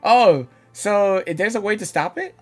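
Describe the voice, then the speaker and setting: A woman game streamer giving casual, rambling, excitable live reactions. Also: medium pitch, normal speed. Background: game music.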